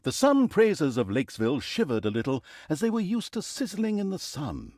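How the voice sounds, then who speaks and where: A man's voice: a little high, wildly swooping pitch, brisk, a little mellow, dry recording, studio quality. A man playing a sardonic, theatrical storyteller who wryly narrates a fantasy video game. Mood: measured storytelling. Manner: theatrical, wry, sarcastic. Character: sardonic, theatrical male storyteller mocking heroes and scolding the player.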